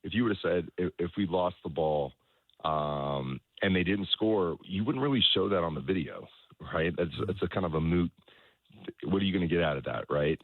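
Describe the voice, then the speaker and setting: A man speaking as a soccer coach in an interview, explaining things in a halting way, repeating words and often checking in with the listener. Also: sits low, animated. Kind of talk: conversational sports interview, explaining his coaching philosophy. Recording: roomy echo, dull lo-fi sound.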